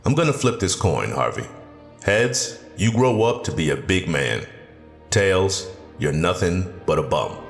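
An actor playing a wise, stern older garage owner and community leader in an audio drama, plain-spoken and authoritative. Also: male voice, low voice, very animated, a little slow, creaky, exaggerated voice. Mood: fatherly, knowing. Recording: long reverb, music underneath.

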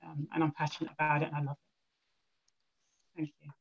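A man's voice: on the high side, completely flat delivery, fast, vocal fry. An older man talking earnestly about helping creatives take control, on a video call. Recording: muffled video-call audio.

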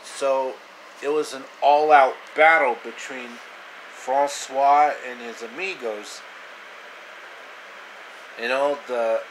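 A man in his thirties telling an improvised story casually to camera, halting and repeating phrases, in a noisy small room. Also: medium pitch, steady tone, fairly bright tone. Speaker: amateur storyteller. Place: small indoor room, reclining. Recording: clear air conditioner noise.

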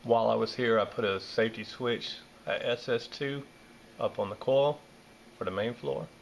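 A man's voice: mid-range voice, moderate pace, vocal fry, noisy background. A man with a Southern American accent, an HVAC technician, explaining a furnace wiring job step by step with plenty of uh fillers, filmed on a handheld camera indoors. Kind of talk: hands-on repair walkthrough. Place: indoors at a furnace in a basement or utility space. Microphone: handheld camera filming close-up work.

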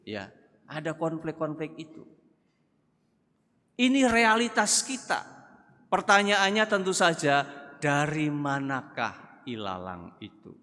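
An older male priest preaches slowly from a pulpit in a large church, his bright, forceful voice carrying a long reverb. He talks in a measured, chatty way, then lifts into emphatic bursts. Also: a little high, big pitch swings. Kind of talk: sermon at a thanksgiving Mass. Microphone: gooseneck pulpit mic.

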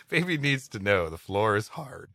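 A man's voice: lowish voice, very animated, a little slow, vocal fry, pushed and bright, no echo, studio quality. A man co-hosting a comedy podcast, reading an old adventure story aloud expressively and dropping in playful asides. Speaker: podcast co-host.

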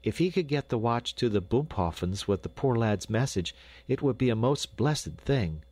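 Storybook peasant mother, played by a man: raised pitch, homely old-fashioned speech, fussy and eager, dry close sound. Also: lively intonation, unhurried, a little mellow, dry recording. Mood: timidly persistent.

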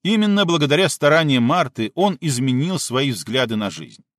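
A man reading an audiobook as a scripted narration, measured and clearly articulated. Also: a little high, big pitch swings, no room sound, studio quality.

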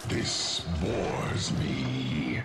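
A man's voice: rumbling low, animated, very slow, exaggerated voice. An actor playing a bored, contemptuous male monster villain in a video game, drawling with disdain. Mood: bored contempt. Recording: echoing voice in loud noise.

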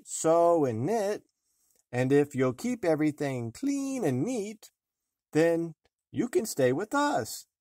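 A man voicing a kindly but stern storybook dwarf with slow, emphatic phrasing. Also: fairly high pitch, no echo, studio quality, pitched above the performer's own voice. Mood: kindly, instructing.

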